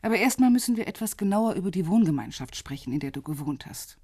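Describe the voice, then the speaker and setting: A composed intelligence officer in a radio crime drama. Her voice is lowish and dark, with a husky grain. She is direct and businesslike, with a teasing edge. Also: animated, quick pace, no echo, studio quality. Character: cool, businesslike female police intelligence officer, subtly flirtatious. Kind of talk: naturalistic radio drama dialogue.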